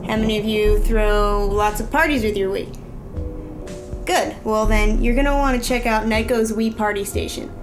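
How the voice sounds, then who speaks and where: A woman's voice: medium pitch, normal speed. A woman giving a casual gadget review in a chatty, slangy, informal way. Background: prominent music.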